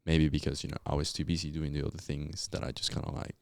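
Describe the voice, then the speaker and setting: Young colombian guy, super low smooth voice, chatty and reflective, lots of "you know". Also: flat delivery, fast, no room sound, clean studio sound. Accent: Colombian Spanish. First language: Spanish. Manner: reflective, storytelling, frequent fillers. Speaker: podcast guest. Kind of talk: casual interview chat.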